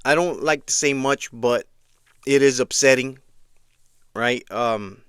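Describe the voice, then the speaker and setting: Smooth-voiced man talking casually, close and dry. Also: medium pitch, slow, fairly bright tone, no echo. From Mexico, raised in Eastern Washington. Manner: conversational, frequent restarts and "right?" check-ins. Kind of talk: casual spoken commentary.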